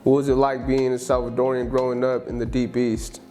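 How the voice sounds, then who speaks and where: A man hosting a casual interview podcast, talking in relaxed slang and often agreeing with the guest. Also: mid-range voice, soft background music. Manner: relaxed, slangy, quick back-channel agreement. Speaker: podcast host.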